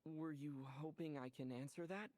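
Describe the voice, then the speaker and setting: A woman plays a young adventurer heroine from a video game, kind and thoughtful. The voice is low for a woman, with an understated, gentle delivery. Faint noise sits behind it. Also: moderate pace, close to an everyday voice.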